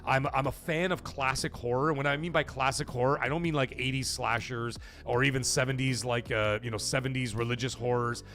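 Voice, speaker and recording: A man's voice: medium pitch, steady tone, brisk, hardly any pauses, fairly bright tone, soft background music. A man hosting a gaming livestream, rambling casually and answering viewers from the chat by name. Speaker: livestream gaming show host.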